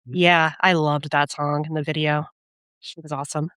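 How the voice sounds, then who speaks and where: A woman's voice: low voice, flat delivery, moderate pace, a bit bright, clear, resonant tone, no echo, studio quality. A woman co-hosting a podcast in a casual conversational style. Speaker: podcast co-host.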